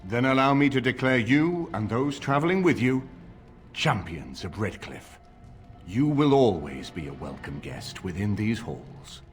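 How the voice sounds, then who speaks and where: An actor playing a grave, authoritative middle-aged lord in a video game, speaking in a formal, measured way with a husky voice. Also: male voice, on the low side. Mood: grave, grateful. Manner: formal, measured, stately delivery. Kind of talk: dramatic video game voice acting.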